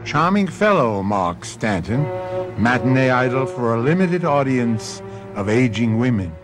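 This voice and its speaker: A man's voice: mid-range voice, sing-song, measured pace. A man narrating a radio mystery as host, urbane, sardonic and theatrical in his delivery. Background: music.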